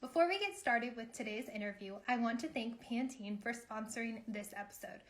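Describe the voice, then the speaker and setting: A woman's voice: a little high, a little fast. A woman hosting an online interview show, upbeat and encouraging in manner. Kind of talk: live online interview, scripted sponsor read then casual chat.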